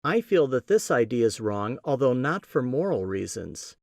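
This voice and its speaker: A man with a Midwestern American accent hosting a podcast, giving a scripted teaching talk in a measured, explanatory way with emphatic stresses. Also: mid-range voice, very animated, gravelly, no echo, studio quality. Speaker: podcast host.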